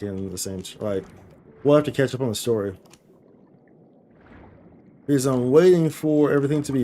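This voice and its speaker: A man's voice: lowish voice, dark tone, slight background noise. A man chatting casually on a live gaming stream, slangy and playful, rambling and often repeating his phrases. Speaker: game streamer, host.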